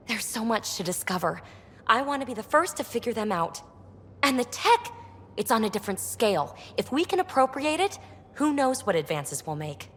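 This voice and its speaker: Cheeky curious young explorer gal from a game, super animated, lots of reverb. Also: female voice, high-pitched. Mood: excited. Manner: breezy, irreverent, animated. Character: lively, cheeky, curious young female alien researcher and rogue explorer. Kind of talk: video game dialogue.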